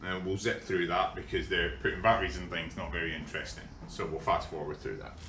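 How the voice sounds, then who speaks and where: A middle-aged Scottish man casually explaining a kit installation in a workshop, relaxed and full of ums. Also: low-pitched, quick pace. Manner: relaxed, hesitant with frequent ums. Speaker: workshop vlogger. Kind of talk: casual how-to demonstration. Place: large indoor workshop. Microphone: camera mic from overhead. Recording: echoey workshop with faint hum.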